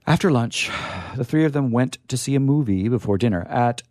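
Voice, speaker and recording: A man reads and hosts in a playful, theatrical way. His medium-pitched voice swings widely with exaggerated emphasis and offhand asides. The sound is dry and close. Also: animated, fairly quick, dark tone, no room sound. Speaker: storyteller and show host. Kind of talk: live comic story reading with sign-off.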